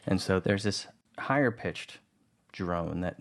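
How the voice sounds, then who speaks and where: A man's voice: low voice, expressive, slow, background noise. A man giving an informal, conversational tutorial voice-over, explaining things as a producer. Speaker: audio drama producer, tutorial presenter.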